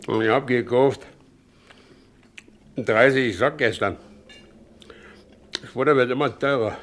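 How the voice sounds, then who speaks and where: Casting: blunt, stubborn old haulier in a radio play, broad homely Silesian speech. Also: male voice, medium pitch, takes it slowly, fairly bright tone. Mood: plain, grumbling. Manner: blunt, homely dialect talk. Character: stubborn, plain-spoken ageing carter, head of his household. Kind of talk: stage-style German radio drama acting.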